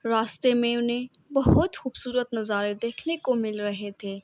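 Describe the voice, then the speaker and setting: Woman, high voice, dry sound, scripted children's story narration. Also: steady tone, moderate pace, darkish tone, dry recording, highs cut off.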